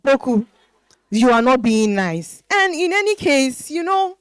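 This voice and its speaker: An actress playing a reserved, hesitant woman in a dramatized stage reading, measured and reluctant. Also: high voice, big pitch swings, clear, resonant tone, no echo. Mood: reluctant, guarded. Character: reserved, hesitant woman confiding in her friend.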